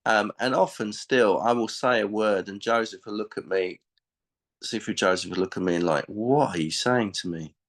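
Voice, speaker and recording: Low, gravelly man. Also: normal speed. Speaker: martial arts instructor being interviewed. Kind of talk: conversational interview answers.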